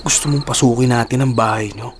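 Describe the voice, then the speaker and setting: An actor playing a loyal young accomplice, blunt and streetwise, in a Filipino radio drama. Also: male voice, mid-range voice, steady tone, fast talker, background noise, close to an everyday voice. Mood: uneasy. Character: loyal young accomplice, nervous then threatening robber. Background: dramatic music.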